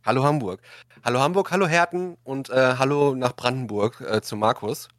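A man's voice: mid-range voice, normal speed, fairly bright tone, no echo, studio quality. A man hosting a casual discussion, often restarting his sentences as he searches for words. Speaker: podcast and radio show host. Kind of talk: casual chat, moderating a discussion.

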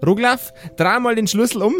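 A man's voice: very high-pitched, normal speed, music in the background, pitched above the performer's own voice. A man with a Bavarian accent voicing an inventive, bossy mother who instructs her grown sons in an improvised fantasy audio game. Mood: stern instructing. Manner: instructing, exasperated, rustic.

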